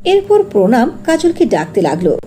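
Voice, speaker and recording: A woman's voice: on the high side, huge pitch swings, normal speed, warm tone, a little hiss or hum. A woman narrating a scripted cartoon fairy tale.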